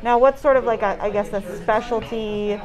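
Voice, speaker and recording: A woman in her thirties interviewing a shop owner, friendly, with quick short acknowledgements, in a barbershop. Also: fairly high pitch, fairly even intonation, over music, low-quality recording. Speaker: interviewer, realtor. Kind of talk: casual interview.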